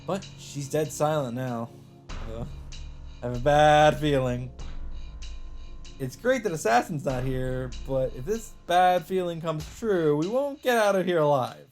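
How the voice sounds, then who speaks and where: A man's voice: a little high, a little slow, darkish tone. Man reading game narration over faint music. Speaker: let's play host. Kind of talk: reading visual novel narration aloud.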